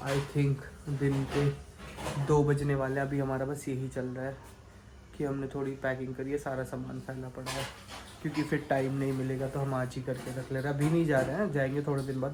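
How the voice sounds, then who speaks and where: A man's voice: medium pitch, background noise. A man vlogging casually from home, talking in a chatty, teasing way to his viewers. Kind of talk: casual daily vlog chat. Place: at home indoors.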